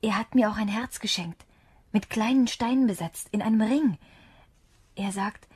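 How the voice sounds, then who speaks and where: A woman's voice: mid-range voice, normal speed, darkish tone, slightly rough, close to an everyday voice. A woman in a German radio drama playing a naive, lovestruck young daughter. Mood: eager, pleading. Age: young adult.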